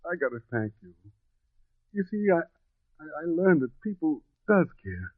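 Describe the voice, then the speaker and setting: An actor playing a humble, grateful young country man, speaking haltingly and earnestly in an old radio drama. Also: a little high, big pitch swings, breathy edge, dry recording, exaggerated voice. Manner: halting, earnest, folksy ungrammatical speech. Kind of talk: 1950s radio drama acting. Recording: tinny, phone-like old radio recording.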